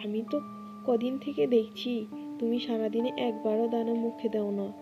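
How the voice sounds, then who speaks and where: Woman as anxious mother bird, cartoon, caring, questioning, higher pitch, backing music. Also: steady tone, normal speed, muffled recording, close to an everyday voice. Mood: reproachful. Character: worried, tender mother bird Tuni. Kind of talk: cartoon storytelling dialogue.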